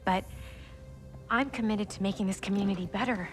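A caring mother and local politician, voiced by an actress, warm and gently probing. She talks at a middling pitch over faint game music. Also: brisk, lightly acted. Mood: earnest. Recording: game audio with music underneath.

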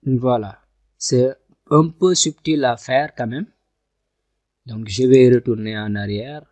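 A man giving a screen-recorded software tutorial, talking through each click step by step and often repeating himself. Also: medium pitch, slow pace, dry recording. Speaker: software instructor. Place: at a computer, voice over screen capture.

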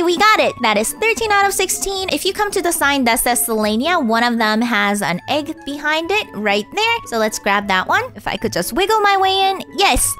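A woman's voice: high-pitched, fairly bright tone. A woman casually narrating a game walkthrough, guiding viewers step by step, her voice tired and strained. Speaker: gaming channel host.

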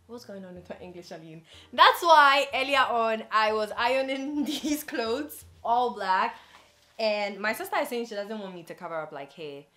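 A woman's voice: fairly high pitch, lively intonation, a little slow, bright, forceful tone. Playful young woman vlogging. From Ghana. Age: 20s. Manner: chatty, playful, addresses viewers directly. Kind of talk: casual vlog chat to camera. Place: bedroom, indoors.

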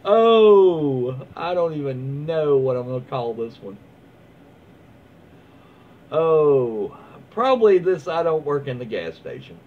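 A dull, noisy home recording of a man reading online stories aloud. His pitch moves around a lot as he slips between reading and easygoing, rambling commentary. He sounds folksy and casual, like a host chatting from his own room. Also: big pitch swings, slow, pauses often. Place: small room at home, indoors. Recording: dull lo-fi sound with clear background noise.

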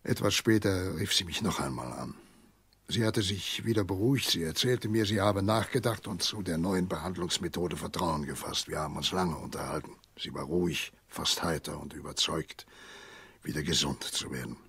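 An actor playing a grave, kindly elderly family doctor in a radio play, speaking in a measured, husky voice. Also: male voice, low-pitched, a bit bright, dry recording, exaggerated voice. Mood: grave, insistent. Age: older. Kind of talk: German radio play acting.

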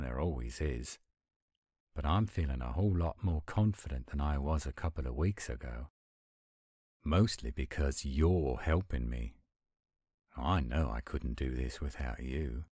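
Very low male werewolf, played by a man, tender sweetheart, unhurried delivery, romantic roleplay audio, dry close sound. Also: animated, a little mellow, dry recording, heavily acted. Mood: hopeful, affectionate. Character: affectionate, gruff-but-sweet male werewolf boyfriend. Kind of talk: romantic audio roleplay, one-sided intimate dialogue.

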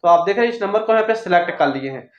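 A man's voice: high-pitched, animated, rapid-fire. A man gives a step-by-step phone tutorial in a repetitive, instructional way. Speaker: tech tutorial host. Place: small indoor office room. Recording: roomy echo, dull lo-fi sound.

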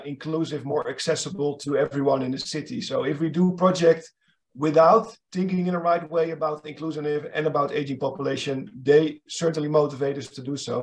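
A lighter-pitched Dutchman you would hear in an online city planning webinar, restarting phrases as he answers. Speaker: panelist, city climate official. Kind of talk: unscripted panel answer. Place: indoors, on a video call. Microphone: video call microphone.

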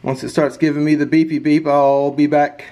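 A man's voice: a little high, level tone, normal speed, mellow, a little hiss or hum. A man working as an HVAC technician, explaining his steps in a casual, chatty tutorial while he works. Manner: laid-back, chatty, talking while working. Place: outdoors beside an AC unit, later indoors.

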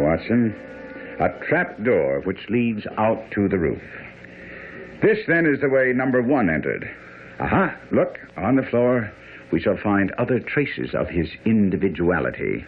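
A dull, slightly hissy radio recording of a brisk master detective in a mystery play. His low voice ranges widely as he lectures with precise confidence. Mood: confident, excited. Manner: didactic, precise, commanding. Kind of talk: old-time radio drama acting. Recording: muffled, noisy radio broadcast.

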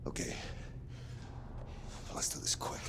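An actor playing a gruff, brawny loner protector in a video game, speaking in terse commands with a gravelly voice. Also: male voice, a little slow, background noise, lightly acted. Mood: grudging, low. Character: gruff, brawny male loner protector in a post-apocalyptic world. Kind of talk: video game cutscene acting.

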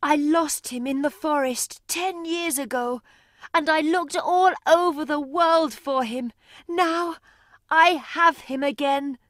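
A heartbroken beggar mother played by a woman pleads with her lost son in a read-aloud fairy tale. Her high voice swings widely and moves slowly. The sound is dry and close. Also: expressive, a bit bright, no echo, heavily acted. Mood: pleading. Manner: pleading and tearful. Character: grieving beggar mother, pleading, long-suffering. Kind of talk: audiobook character acting.

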